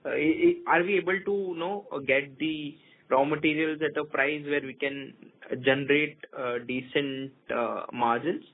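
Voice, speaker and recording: A man's voice: on the high side, fairly even intonation, normal speed. A male analyst phoning into an earnings call, putting questions politely and a little hesitantly. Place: phone call into conference. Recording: narrowband phone line, faint noise.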